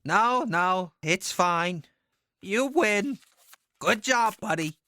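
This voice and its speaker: Sly male orc boss played by a woman, audio drama. Forceful, slow drawl, sardonic and condescending. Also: mid-range voice, lively intonation, exaggerated voice. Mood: patient, placating.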